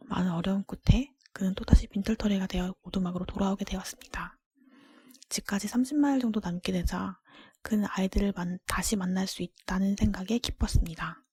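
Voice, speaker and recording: A woman reading a storybook in a soft, breathy ASMR whisper, gentle and careful. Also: mid-range voice, dry recording. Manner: hushed, gentle, careful narration. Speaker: storybook reader.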